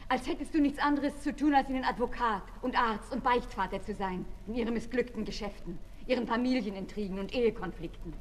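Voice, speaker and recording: An actress playing a protective, scolding female attendant who grumbles in quick mutters in an old radio play. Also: a little high, close to an everyday voice. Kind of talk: 1960s German radio play acting. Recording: old radio recording, reverberant, hissy.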